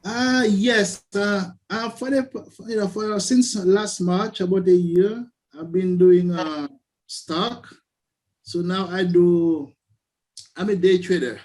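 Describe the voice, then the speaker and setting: Haitian-accented guy on a class call, highish voice, friendly, lots of uh's. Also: fairly even intonation, a little mellow, poor audio. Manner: friendly, laughs easily, halting with fillers. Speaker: class attendee, day trader.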